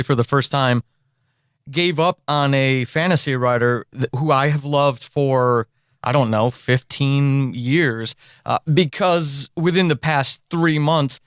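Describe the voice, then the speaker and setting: A man's voice: medium pitch, unhurried, fairly bright tone, clear, resonant tone, no echo, studio quality. A man hosting a conversational interview podcast, thinking aloud and rephrasing as he goes. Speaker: podcast host.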